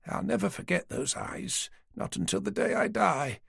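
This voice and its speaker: A woman's voice: on the low side, animated, moderate pace, vocal fry, husky, no room sound, studio quality, voice pushed up, full character voice. A man reading an audiobook, voicing a frail, forgetful elderly woman who was once a nurse, in a genteel, rambling manner. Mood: chatty. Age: older. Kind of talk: audiobook character voice.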